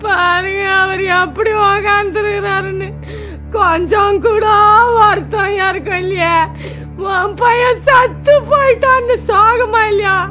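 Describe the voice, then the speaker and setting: An actress gives voice to a heartbroken village mother, wailing and sobbing in a very high, piercing voice that drags slowly through each cry. A music score plays clearly underneath her lament. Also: steady tone. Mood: bitter reproach. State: crying. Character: grieving village mother mourning her dead son. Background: background music.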